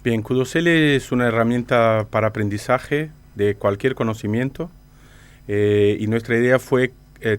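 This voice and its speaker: A man from Brazil with a Brazilian accent and native Portuguese, giving halting explanatory interview answers. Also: lowish voice. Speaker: interview guest, company director.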